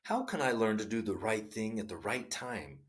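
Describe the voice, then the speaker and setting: An earnest storybook king with a voice that climbs and falls as he presses his questions, as read by a man. His tone is pleading and sincere. He speaks with wide swings in pitch. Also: very animated, moderate pace.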